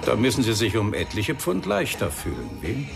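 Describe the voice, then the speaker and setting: An actor playing a sardonic, brusque police inspector in a German radio crime drama, with a dry, teasing, probing way of talking. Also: male voice, fairly low pitch, wildly swooping pitch, normal speed, creaky, over music, heavily acted. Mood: politely probing.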